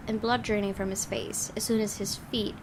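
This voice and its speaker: A woman's voice: mid-range voice, level tone, normal speed, noisy background. A woman reading a visual novel aloud as first-person dramatic narration. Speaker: gaming video host reading aloud. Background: game music.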